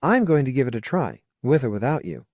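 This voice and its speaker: Male narrator as persuasive woman, audiobook dialogue, earnest, plain-spoken, very varied pitch, dry sound. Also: sing-song, brisk, darkish tone, no echo. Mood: firm, forgiving. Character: frank, persuasive woman colonist, old friend.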